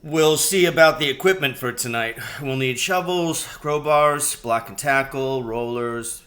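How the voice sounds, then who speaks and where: A man's voice: mid-range voice, a little slow, fairly bright tone, natural voice. A man voicing a practical, businesslike rat in a storybook, speaking in a matter-of-fact way.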